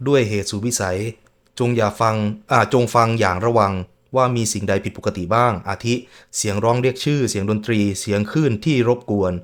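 A man's voice: on the low side, fairly quick. A man hosting a reaction video who mutters casual asides and reads on-screen text aloud as he watches.

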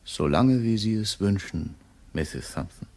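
An actor in a radio play playing a middle-aged, deferential and quietly knowing long-serving shop employee with a husky voice. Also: male voice, fairly low pitch, lively intonation, a little slow.

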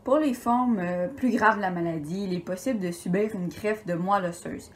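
A woman's voice: medium pitch, normal speed. Young woman presenting at a webcam. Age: young adult. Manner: earnest, with some fillers. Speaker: student presenter. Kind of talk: science fair presentation. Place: home room. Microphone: webcam close up.

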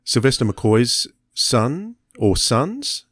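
A man's voice: mid-range voice, big pitch swings, drawn-out words, a bit bright, slightly rough, no echo. A middle-aged man co-hosting a podcast, chatting casually and thinking aloud, close on a desk microphone. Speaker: podcast co-host. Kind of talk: casual fan chat.

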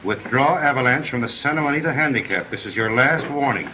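A man's voice: medium pitch, animated, a little fast, background noise, close to an everyday voice. An actor playing a firm, reassuring male horse owner in a 1930s film.

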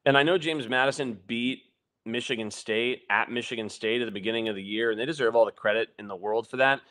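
A young man co-hosting a sports podcast from home, heard over a video call. He rambles through his analysis with lots of fillers and self-corrections, and his pitch sits right in the middle for a man. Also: fast talker, a bit bright. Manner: rambling, analytical, frequent fillers and restarts, laughs often. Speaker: podcast co-host. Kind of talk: casual postgame sports chat.

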